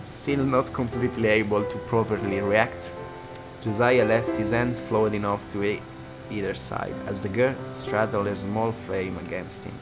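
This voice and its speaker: A man's voice: fairly low pitch, fairly even intonation, unhurried. A man reading a visual novel aloud as a let's player, narrating dramatically and dropping into casual asides. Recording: guitar music behind.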